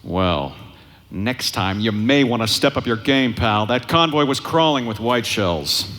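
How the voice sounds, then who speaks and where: An actor playing a cocky, irritable smuggler captain in a live audio drama, with a brash, wisecracking, sarcastic manner. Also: male voice, medium pitch, animated, unhurried, fairly bright tone. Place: convention stage before a live audience. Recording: live stage sound with background noise.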